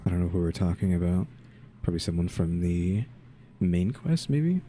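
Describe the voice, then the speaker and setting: A man's voice: low-pitched, expressive, moderate pace, dark tone, slight background noise. A man giving casual, laid-back gameplay commentary, musing aloud as he plays. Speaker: gamer, video creator.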